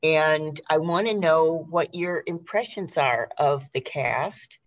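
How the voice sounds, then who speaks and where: A woman's voice: low voice, slow, dull audio. A woman hosting a conversational interview in a polite, effusive way, heard over a video call. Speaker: host interviewing a theater cast.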